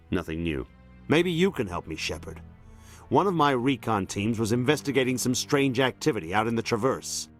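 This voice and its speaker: A man's voice: medium pitch, fairly bright tone, no room sound. A man playing a frustrated senior military admiral in a video game, formal, weary and blunt in his delivery.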